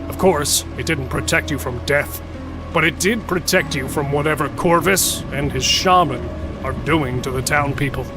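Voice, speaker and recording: A man playing an irreverent smith and lore keeper explaining dark history in a fantasy audio drama. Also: a little high, moderate pace, over music, lightly acted. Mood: reassuring.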